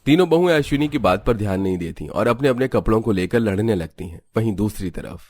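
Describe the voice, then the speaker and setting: A man's voice: on the low side, animated, fast talker, darkish tone, faint music behind. A man reading scripted narration for a cartoon story as its storyteller.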